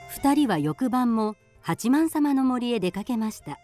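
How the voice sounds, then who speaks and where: A woman's voice: on the high side, expressive, unhurried, dark tone, faint music behind. A woman reading scripted storybook narration for a radio drama.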